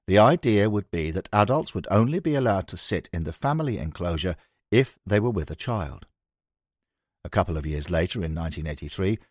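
A man's voice: deep voice, no echo, clean studio sound. A man reading a sports history book aloud as a scripted narration. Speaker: audiobook narrator.

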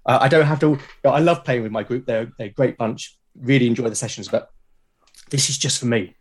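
A British man speaks as a guest in a conversational interview. His voice sits at an average pitch for a man. He is reflective and halting, often restarting a phrase as he explains how he works. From Britain. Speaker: podcast guest, creator of a solo role-playing show.

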